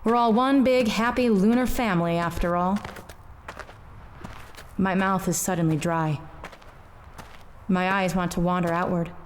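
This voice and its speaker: A woman playing a hard-boiled, world-weary private eye in a noir audio drama, terse, wry and deadpan. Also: medium pitch, a little hiss or hum, lightly acted.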